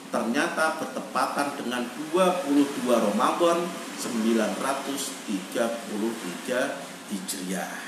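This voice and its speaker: A man delivers an Islamic sermon at a middling pitch. He speaks like a deliberate orator, stressing and repeating key phrases. The sound is very echoey and noticeably distant, like an online meeting. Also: fairly bright tone, a little hiss or hum. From Indonesia. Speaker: Islamic preacher.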